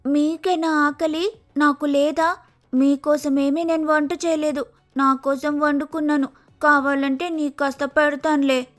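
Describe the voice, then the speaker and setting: A woman's voice: high-pitched, little pitch movement, unhurried, faint music behind. A woman voicing a lazy, sulky young sparrow daughter-in-law in a cartoon, with whiny, careless complaining. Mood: lazy, grumbling.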